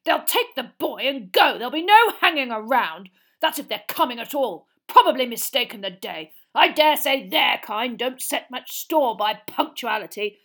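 A woman's voice: on the high side, animated, normal speed, hardly any pauses, a bit bright, voice pushed up. A woman voicing a blustering, irritable middle-aged man for a storybook, growling and indignant. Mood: grumbling, irritable.

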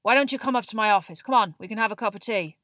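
A woman voicing a gruff old male teacher in a storybook, with a forceful, barking, growling delivery. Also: mid-range voice, rapid-fire, no echo, studio quality, lightly acted. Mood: gruffly gentle.

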